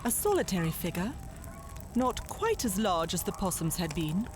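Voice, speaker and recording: A woman giving measured, suspenseful storybook narration in an audio drama. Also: lowish voice, very animated, noisy background. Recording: music behind the narration.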